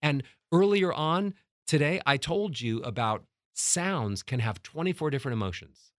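A middle-aged man teaching as a voice coach, emphatic and demonstrating how to change loudness and pitch. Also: lively intonation, normal speed, no room sound, clean studio sound. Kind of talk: motivational teaching talk.

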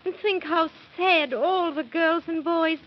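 A woman's voice: very high voice, unhurried. A woman playing a kindly, helpful fairytale queen who speaks in sing-song rhyme in a 1930s children's radio drama. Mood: kindly reassurance. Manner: sing-song rhyming, regal. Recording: muffled 1930s radio recording.

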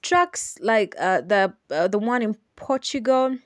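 A young adult woman with a Ugandan accent, hosting a solo podcast and explaining things in an unhurried way with some hesitant fillers. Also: mid-range voice, lively intonation, no echo, studio quality. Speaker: podcast host.

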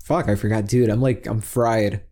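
A man's voice: on the low side, fairly even intonation, normal speed, warm tone. A young man chatting casually on a podcast over a headset mic, rambling with lots of fillers and swearing freely. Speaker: podcast co-host. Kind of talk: casual chat.

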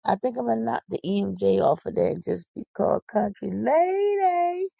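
Tired silly philly woman rambling on her podcast, mellow voice, dull dry lo-fi sound. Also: a little high, expressive, normal speed, creaky, no room sound. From Philadelphia. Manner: silly, goofy, folksy, exclamatory. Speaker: podcast host. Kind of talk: casual rambling monologue to listeners.

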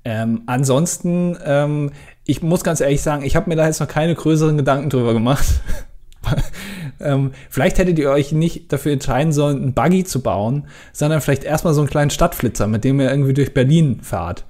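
A man's voice: a little high, a little fast, dark tone. Rambling, hesitant male podcast co-host. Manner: rambling, hesitant, many ums and self-interruptions, dry jokes. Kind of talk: casual podcast chat.

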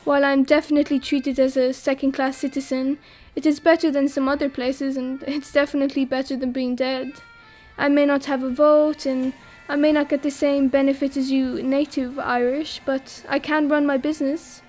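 A young woman from France speaks in a high, wry voice, mocking herself and laughing lightly as she goes. Faint music plays beneath her monologue in this audio drama. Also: little pitch movement, normal speed, few pauses, a little mellow. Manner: wry, self-mocking, laughs while talking. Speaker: exile interviewed about a disaster.

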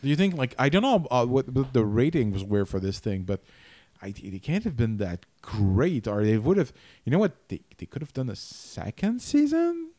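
A man co-hosting a podcast who talks in a halting way with many restarts while discussing a miniseries. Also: medium pitch, very animated, warm tone, dry recording. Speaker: podcast co-host. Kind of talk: casual review chat.

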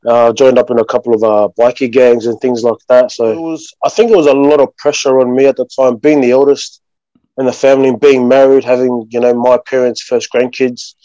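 A man's voice: mid-range voice, level tone, brisk, mellow, no echo, highs cut off. A man from Sydney with an Australian accent, talking casually as a guest and filling his speech with "you know" and "bro". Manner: laid-back, lots of "you know" and "bro". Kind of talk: casual interview talk.

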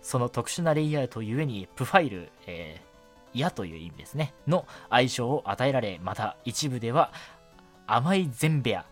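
Male radio host, medium pitch, lively intonation, frequent fillers and self-corrections, background music. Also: moderate pace. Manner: filler-heavy, stumbling over words, self-correcting. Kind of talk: solo radio show segment, reading listener mail and chatting.

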